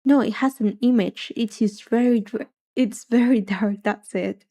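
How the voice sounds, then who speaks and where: A soft-spoken woman streaming a game, chatting casually and rambling to her viewers. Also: on the high side, unhurried. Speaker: VTuber streamer. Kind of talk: casual chat while gaming.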